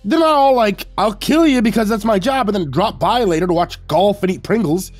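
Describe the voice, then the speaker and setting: A man performs an anxious young man in an audiobook, pitched up high and squeaky. He is sarcastic and easily flustered, his exasperation pushing toward a screech. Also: brisk. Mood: hysterical, furious. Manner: squeaky, stammering, screeching when angry. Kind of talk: audiobook character voice.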